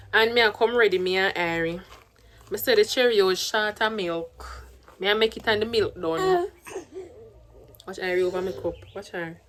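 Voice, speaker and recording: Chatty woman talking in Jamaican patois. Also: on the high side. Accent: Jamaican patois, put on by a Trinidadian. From Trinidad. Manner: chatty, mixes patois and standard English. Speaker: vlogger, single mother. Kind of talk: casual vlog chat to camera. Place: home, then in a car and out shopping.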